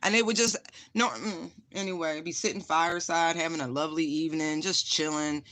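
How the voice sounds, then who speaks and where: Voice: medium pitch, steady tone, brisk, a bit bright, no room sound. A tarot reader giving a casual spiritual reading, frank and profane, laughing often and repeating phrases for emphasis.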